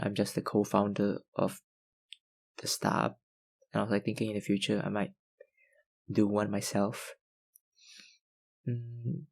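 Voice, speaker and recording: Young male ASMR creator, low-pitched, unhurried, filler-heavy fan Q&A. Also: vocal fry, rough recording. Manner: hesitant, lots of ums and likes, soft laughs. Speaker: ASMR YouTuber answering viewer questions. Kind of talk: casual Q&A chat.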